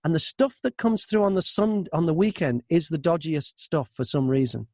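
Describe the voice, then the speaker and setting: A man's voice: on the high side, lively intonation, moderate pace, darkish tone, no echo, studio quality. A man who narrates audiobooks, chatting casually as an interview guest and acting out his thoughts and messages as he tells stories.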